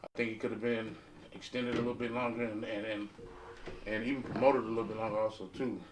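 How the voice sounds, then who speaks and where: A man's voice: fairly low pitch, level tone, fast talker, noisy background. American guy on a podcast panel, emphatic, repeats himself, roomy sound, kinda far from the mic. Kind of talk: casual round-table discussion. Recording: roomy echo, distant from mic.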